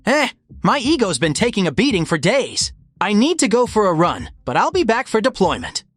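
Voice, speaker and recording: Woman voicing a lively, wry young hedgehog hero. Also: medium pitch, moderate pace, no room sound. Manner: casual, wry. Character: weary but plucky young male hedgehog hero.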